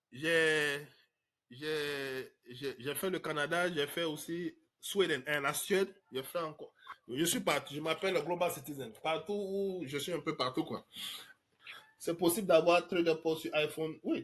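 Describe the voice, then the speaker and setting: A man's voice: a little high, a bit bright. A man from Côte d'Ivoire, hosting a live online question-and-answer talk in an emphatic way. He repeats words and drops English fillers. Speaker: trading course host answering questions.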